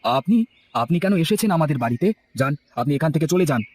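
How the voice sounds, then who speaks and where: A man's voice: on the high side, expressive, fast, a little mellow, a little hiss or hum, lightly acted. A man playing an earnest, plain-spoken young street vendor and devoted son in a children's cartoon. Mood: indignant.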